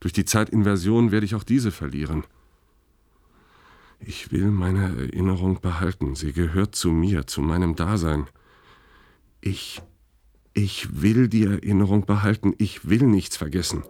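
A deep-voiced grieving spaceman. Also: measured pace, warm tone, no echo, clean studio sound. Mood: desperate resolve. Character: grieving haunted male spaceship crewman, clinging to memory. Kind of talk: intimate radio play dialogue.

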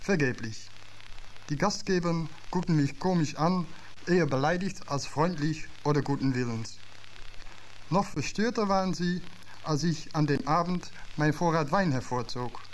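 A man whose first language is not German, reading a scripted literary radio essay in a measured way, from an old radio archive recording. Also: a little high, slight background noise, poor audio. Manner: measured, literary delivery with slightly non-native phrasing.

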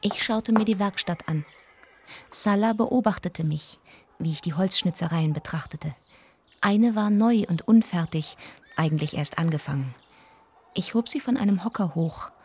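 A woman's voice: on the low side, moderate pace, a little mellow, slightly rough. A woman playing an observant deaconess who narrates a crime story in a German radio drama. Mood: reflective.

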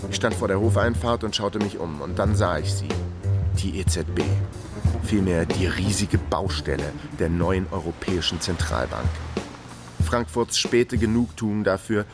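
Male actor as weary investigator, deep register, dry radio crime narration, music underneath. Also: normal speed, natural voice. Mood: wry, reflective. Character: world-weary, wry male investigator telling his case. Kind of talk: scripted first-person radio drama narration.

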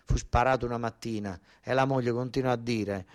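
An actor playing a desperate working-class southern Italian son and ex-soldier, in a colloquial, emphatic theatrical monologue with a southern Italian accent. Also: male voice, medium pitch, fairly even intonation, a little fast, lightly acted. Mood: grave, indignant.

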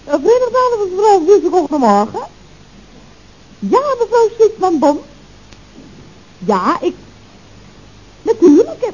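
High, slow-talking naive secretary. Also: female voice, very animated, warm tone, exaggerated voice. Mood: flustered. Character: naive, matter-of-fact female office secretary. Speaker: actress in a radio comedy serial. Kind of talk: 1970s Dutch radio comedy acting. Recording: dull, noisy old radio recording.

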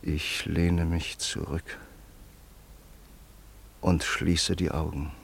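Radio play: a loyal old friend, deep, husky, slow and grave. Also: male voice, flat delivery, heavily acted. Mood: solemn remembering. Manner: measured, grave narration. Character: solemn middle-aged man, loyal old friend. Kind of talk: 1930s period radio drama.

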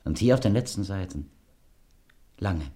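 Animated low-key detective. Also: male voice, a little slow. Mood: pondering. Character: calm, methodical male detective leading an investigation. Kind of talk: German radio crime drama acting.

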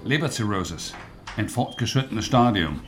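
An actor playing a dry, blunt doctor in a radio drama, speaking in terse clinical statements. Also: male voice, lowish voice, animated, moderate pace, close to an everyday voice. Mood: dry, certain.

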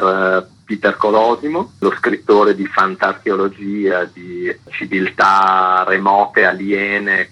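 Deep, bright, husky-voiced man. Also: low-quality recording. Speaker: author being interviewed. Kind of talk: explanatory radio interview.

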